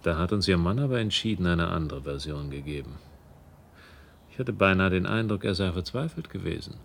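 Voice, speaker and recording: An actor playing a mocking, menacing adversary in a radio drama, with a gravelly voice and sardonic courtesy. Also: male voice, low voice, lively intonation, fairly quick, a bit bright, a little hiss or hum, full character voice. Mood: mocking menace. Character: smooth-tongued, mocking, menacing male adversary. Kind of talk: German radio drama acting.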